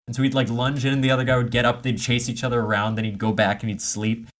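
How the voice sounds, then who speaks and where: A man co-hosting a podcast, telling stories in casual comedic chat with animated, self-mocking asides. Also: medium pitch, fast talker. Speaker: podcast co-host.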